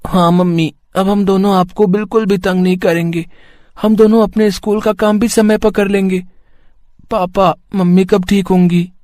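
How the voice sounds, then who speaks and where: A woman's voice: mid-range voice, fairly even intonation, moderate pace, mellow, dry recording, clean studio sound, natural voice. A woman voicing a sweet, obedient young child with an earnest manner in a cartoon story. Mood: worried.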